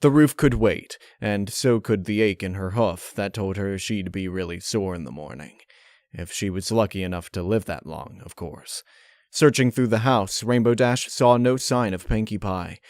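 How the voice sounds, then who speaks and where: A man reading a dark fan-fiction story aloud as scripted narration. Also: lowish voice, moderate pace, no echo, clean studio sound.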